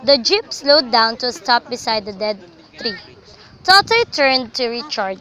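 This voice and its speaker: A woman's voice: a little high, very animated, a little slow, bright, forceful tone. A young woman reading scripted, dramatic story narration for a student radio drama. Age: young adult. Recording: faintly noisy amateur recording.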